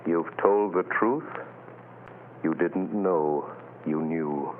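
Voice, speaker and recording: On a muffled, hissy old radio broadcast, a mysterious mind reader speaks deep and slow. He is commanding and intensely focused, pausing dramatically in 1940s radio style. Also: full character voice. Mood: focused, urgent.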